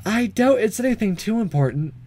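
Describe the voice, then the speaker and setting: A game player doing an anxious high-pitched teenage girl. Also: lively intonation, brisk, dark tone, natural voice. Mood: guarded. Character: anxious, defensive high school girl in swim club.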